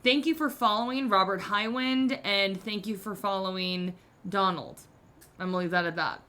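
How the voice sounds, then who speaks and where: Bright-voiced woman in her thirties. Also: mid-range voice, moderate pace. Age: 30s. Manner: candid, self-mocking, lots of "like". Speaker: podcast host. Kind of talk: casual advice chat.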